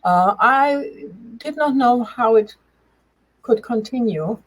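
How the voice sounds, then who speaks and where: A middle-aged woman with a German accent and a slightly husky voice, telling her personal story as an interview guest in a halting, emphatic way. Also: fairly high pitch, animated, low-quality recording. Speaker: interview guest, change coach. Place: remote video call.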